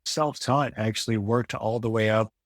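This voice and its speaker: An American man in his forties speaks with a fairly low voice in a dry space. He talks as a podcast guest, reflective and halting, often rewording a thought midway through. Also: steady tone, no echo. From Detroit area, Michigan, United States. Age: 40s. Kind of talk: casual interview conversation.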